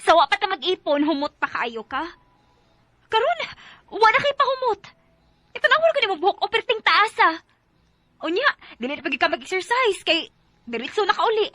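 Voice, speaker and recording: A woman's voice: very high-pitched, sing-song, fairly quick, pauses often, pushed and bright, dry recording, exaggerated voice. A woman with a Cebuano Filipino accent playing a careless, defensive young girlfriend in a radio drama, whiny and emphatic. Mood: annoyed. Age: young adult. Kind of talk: Bisaya radio drama acting.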